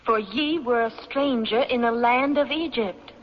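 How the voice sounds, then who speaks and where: A woman's voice: on the high side, measured pace, close to an everyday voice. An actress playing an earnest, loyal young mountain woman in a radio drama, plain-spoken with her father. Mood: earnest, pleading. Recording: dull, noisy old radio recording.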